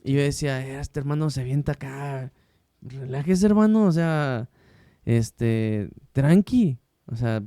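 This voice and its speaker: A man's voice: mid-range voice, mellow, no room sound, studio quality. A man hosting a casual faith podcast, chatty and slangy, with many restarts. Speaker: podcast host.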